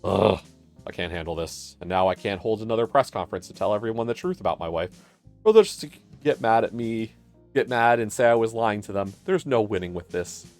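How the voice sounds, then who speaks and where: A man's voice: fairly low pitch, lively intonation, a little fast, darkish tone, faint music behind. A man voicing an earnest, exasperated dog politician in a visual novel, indignant and complaining.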